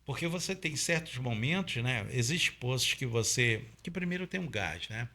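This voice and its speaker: A man's voice: medium pitch, lively intonation, normal speed, bright, forceful tone. A middle-aged man from Pará with a Paraense accent, a guest in an interview, explaining step by step with many fillers and tag questions. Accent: Paraense Brazilian Portuguese. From Pará, Brazil. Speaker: guest, oil industry safety trainer.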